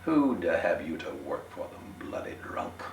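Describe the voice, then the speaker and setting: A man voicing a rough, scornful, taunting sailor in a story reading. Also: rumbling low, very animated, measured pace, full character voice. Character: scornful, rough saltwater sailor slouched at a bar. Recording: roomy, distant, noisy amateur home recording.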